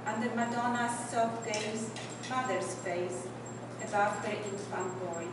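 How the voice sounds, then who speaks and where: A woman with a very soft voice, reading her poems slowly and hesitating between her remarks, recorded without a microphone. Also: mid-range voice, fairly even intonation. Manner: hesitant, halting between asides. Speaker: poet reading her work. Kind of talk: poetry reading with asides. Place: event venue, indoors. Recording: echoey room from a distance, loud background noise.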